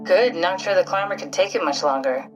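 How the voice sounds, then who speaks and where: An actress playing a pragmatic, reserved woman scientist who exists as a digital consciousness, speaking in a measured, reflective, naturalistic video game style. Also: fairly low pitch, far from the mic, faint music behind, natural voice. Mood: reassuring. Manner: measured, reflective, matter-of-fact.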